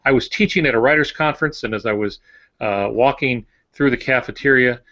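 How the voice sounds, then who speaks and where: An older man, a novelist co-hosting a podcast, explaining in a casual, halting way with short repetitions. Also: medium pitch, a bit bright. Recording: dull lo-fi voice recording.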